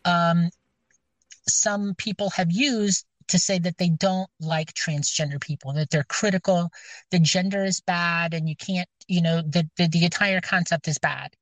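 A woman's voice: fairly low pitch, fairly even intonation, measured pace, dry recording. Scratchy-voiced woman, hesitant chat. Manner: rambling, hesitant, many fillers and restarts. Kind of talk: casual chat. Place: outdoors, near her car.

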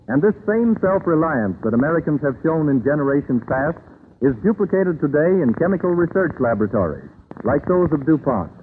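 A man giving formal, measured, declamatory scripted announcements in the style of 1930s radio. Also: a little high, slight background noise. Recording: tinny band-limited old radio broadcast.

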